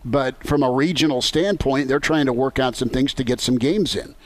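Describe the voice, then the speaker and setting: A man's voice: medium pitch, moderate pace. A man with an American accent hosting a conversational sports radio discussion on location. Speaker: sports talk show host. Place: on location at a golf tournament.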